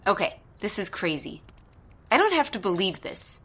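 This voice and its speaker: Audio drama mother, female actor: incredulous and unsure, medium pitch with a tense bright edge, doubting everything she hears. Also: very animated, moderate pace, husky. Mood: bewildered, doubtful. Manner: hesitant, incredulous. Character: skeptical, bewildered mother who makes dolls.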